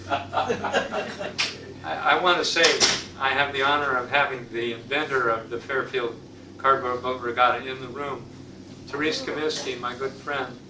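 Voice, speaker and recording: A man answering questions at a club meeting in a slow, wry, chuckling way. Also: medium pitch, fairly even intonation. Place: meeting room. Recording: echoey room, far off, loud background noise.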